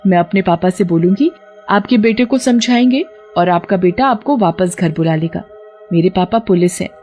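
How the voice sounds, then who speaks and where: A woman's voice: lowish voice, moderate pace, darkish tone, soft background music, natural voice. A woman voicing a kind-hearted, chatty college-age daughter in a storybook tale, speaking eagerly and pleadingly. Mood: sympathetic.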